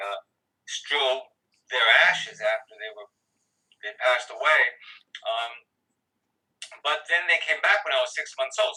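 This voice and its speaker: A man's voice: medium pitch, sharp tone. A man in his sixties, a guest on a video call, talking casually, rambling and correcting himself with a wry edge. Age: 60s. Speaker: interview guest. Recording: distant video call audio.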